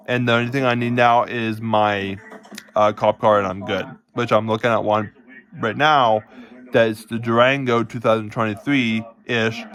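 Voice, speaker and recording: Man, lowish voice, informal video-blog delivery. Hesitant, wandering phrasing with plenty of restatement. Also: fairly bright tone. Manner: halting, hedging, repeats and restates himself. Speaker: self-described police department chief recording a vlog. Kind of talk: casual, rambling explanation to camera. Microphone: phone.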